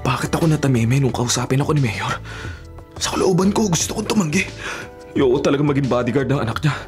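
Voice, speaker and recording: A man's voice: medium pitch, lively intonation, fast talker, lightly acted. An actor playing a serious, dutiful young man, reluctant as a bodyguard, in an earnest, reserved radio drama style. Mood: frustrated, torn. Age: young adult. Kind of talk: Filipino radio drama acting. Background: background music.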